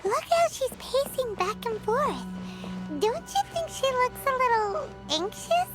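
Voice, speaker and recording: A woman voicing a tiny, excitable fairy companion in a video game, childlike and blunt, with music behind her. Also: unhurried, low-quality recording. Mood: puzzled. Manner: childlike squeaky exclaiming, refers to self in third person.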